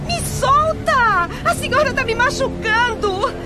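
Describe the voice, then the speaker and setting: A woman's voice: very high voice, expressive, slow pace, music in the background, heavily acted. A woman voicing a timid young daughter who pleads tearfully in a radio drama. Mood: tearful pleading. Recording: loud crowd behind the voice.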